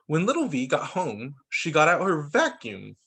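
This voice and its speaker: Lively male teacher reading aloud. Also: a little high, moderate pace, gravelly, slightly rough. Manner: animated, stops to explain to kids. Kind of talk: reading picture books aloud to children. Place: home over a video call. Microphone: laptop mic on video call.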